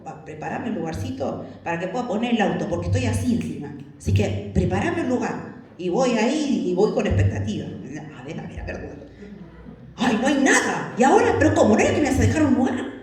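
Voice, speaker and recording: Argentine lady preacher, acts out little scenes, warm voice, big churchy echo. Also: mid-range voice. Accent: Rioplatense Spanish. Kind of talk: sermon. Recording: echoey church hall.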